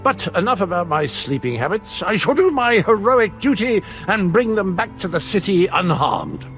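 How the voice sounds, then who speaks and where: A quirky game hero with a very high voice for a male. He chats flippantly and pompously, with very lively intonation. Music plays behind him. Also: normal speed. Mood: breezy. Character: breezy, quirky male self-styled hero adventurer. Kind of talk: comic video game acting.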